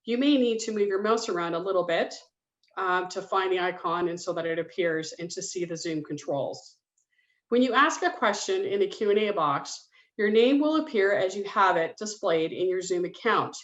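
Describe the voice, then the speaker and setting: A woman's voice: on the low side, expressive, moderate pace. Woman host in an echoey room. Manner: reads from a script, businesslike. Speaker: public education coordinator and webinar host. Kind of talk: scripted webinar introduction. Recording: dull roomy video-call audio.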